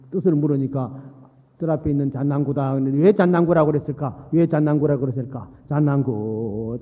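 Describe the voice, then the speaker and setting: Male Buddhist monk, high-pitched voice, folksy dharma sermon, repeated phrases, long hall reverb. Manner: folksy explaining with rhetorical questions to listeners. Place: large hall at a temple dharma assembly. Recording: reverberant hall, dull muffled sound.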